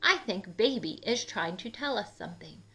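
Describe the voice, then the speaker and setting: A woman's voice: medium pitch, animated, moderate pace, sharp tone, slight background noise. A woman voicing an eager, affectionate, cajoling husband in a children's storybook, with jolly emphasis. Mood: proud, excited. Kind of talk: storybook character voice. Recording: music under the voice.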